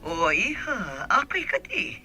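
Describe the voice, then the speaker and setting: A woman with an Ilocano accent playing a concerned older mother in a radio drama, with a husky, sharp voice. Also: medium pitch, huge pitch swings, slow, faint music behind, exaggerated voice.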